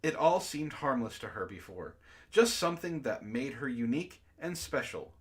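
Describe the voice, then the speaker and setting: A man's voice: mid-range voice, sing-song, normal speed. A man hosting a book club stream, reading aloud and breaking into exasperated, incredulous asides in casual jokey banter.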